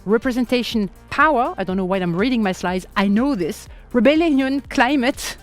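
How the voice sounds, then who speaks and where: A woman with a French accent works a conference stage as host, playful and lively, laughing easily. Her voice sits fairly high. Soft music plays faintly underneath. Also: normal speed. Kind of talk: live stage hosting.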